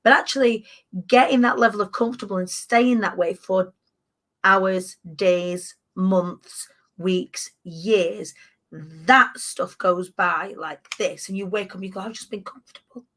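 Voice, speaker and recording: A woman in her thirties hosting a casual chat, animated and emphatic with playful asides. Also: medium pitch, measured pace. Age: 30s. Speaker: podcast host.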